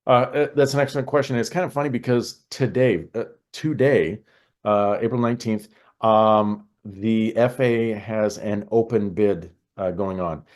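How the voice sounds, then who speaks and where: An American man explaining things conversationally as an expert interview guest, in a halting way with frequent pauses and repetitions. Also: lowish voice, steady tone, darkish tone. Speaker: podcast guest, air traffic control expert.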